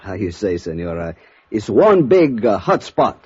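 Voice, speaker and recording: An actor playing a shrewd Mexican police captain who gropes for English words in a Mexican Spanish accent, in 1950s radio drama style. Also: male voice, fairly low pitch, sing-song. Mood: earnest, halting. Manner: halting, groping for English words. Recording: dull, noisy old radio recording with clicks.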